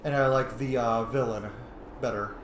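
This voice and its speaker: A man gives casual let's play commentary in a medium-pitched voice. He is opinionated and joking, with loose, sweary asides. The room echoes and faint game ambience sits behind him. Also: steady tone, normal speed, slight background noise. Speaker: YouTuber, gaming host. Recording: roomy echo, game sound behind.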